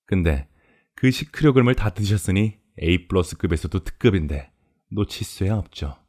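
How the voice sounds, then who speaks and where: A man's voice: deep voice, lively intonation, normal speed, darkish tone. A man voicing a polite, sadistic cannibal farmer in a horror audio drama, explaining things in a courteous, leisurely, gloating way. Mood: calmly menacing. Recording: music behind the voice.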